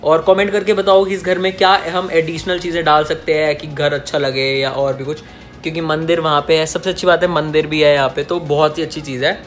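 A man's voice: a little high, fairly even intonation, a little fast, fairly bright tone, soft background music. A man in his twenties giving a casual, jokey tour of his small apartment, talking into a phone held at arm's length and mixing English into his Hindi. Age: 20s. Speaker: vlogger. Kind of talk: casual home-tour vlog.

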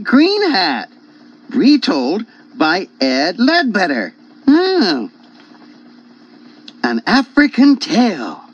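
Man telling a folk tale, unhurried, high male pitch, dull tape sound, faint music. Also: a bit bright. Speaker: storyteller. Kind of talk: animated scripted folk tale narration.

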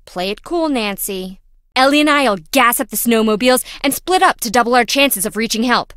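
A woman's voice: fairly high pitch, animated, normal speed, fairly bright tone, smooth, no echo. A woman playing a quirky, dramatic young female lab worker in a video game, chatty, wry and theatrical. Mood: anxious, urgent.

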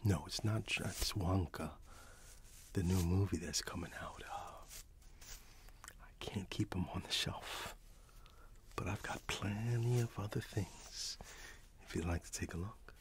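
A middle-aged man playing a friendly, wistful candy shop owner in a gentle, hesitant ASMR roleplay whisper. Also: low-pitched, unhurried, long pauses.

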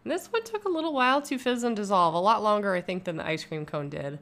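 A woman's voice: mid-range voice, expressive, quick pace, fairly bright tone. A young woman vlogger doing a casual, upbeat voice-over review of a bath product from home. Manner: upbeat, chatty and enthusiastic. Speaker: YouTube vlogger.

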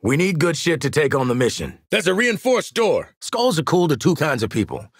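Cocky sardonic merc sniper for a video game, raspy, swings up and down a lot, streetwise, bossing the squad around. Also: male voice, on the high side, big pitch swings, moderate pace, no room sound, clean studio sound, full character voice. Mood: urgent, commanding. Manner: streetwise, sardonic, swearing, commanding. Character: cocky, wry, battle-hardened mercenary marksman in a squad.

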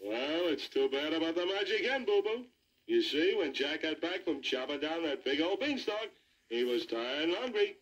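A man's voice: fairly high pitch, lively intonation, moderate pace, a bit bright, highs cut off. A man voicing a folksy, easygoing cartoon bear telling a jokey fairy tale for children. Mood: chatty. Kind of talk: animated comic storytelling for children.

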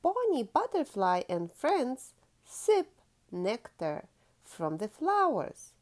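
Slow, very animated woman reading to children. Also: high voice. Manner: expressive, drawn-out key words, teacherly prompting. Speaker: children's storytime host. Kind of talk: read-aloud picture book for young children, with questions.

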